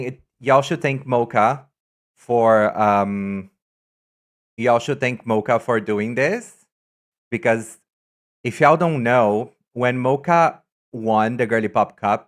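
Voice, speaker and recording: Campy, chatty male streamer from Brazil. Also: medium pitch. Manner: chatty, campy and excitable, trails off into asides. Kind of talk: casual live-stream chat.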